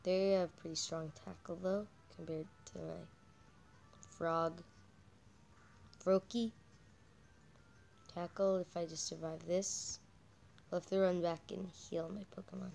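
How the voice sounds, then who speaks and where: Croaky, slow-talking female gamer with a cold. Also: fairly low pitch, little pitch movement, darkish tone. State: croaky with a cold, coughing and throat-clearing. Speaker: host of a gaming channel. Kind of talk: casual game commentary.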